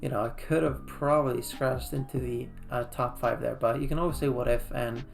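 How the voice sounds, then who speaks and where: A man's voice: medium pitch, steady tone, a little fast, gravelly. A man from Iceland giving casual game recap commentary in a loose, conversational way. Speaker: gaming video creator. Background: faint background music.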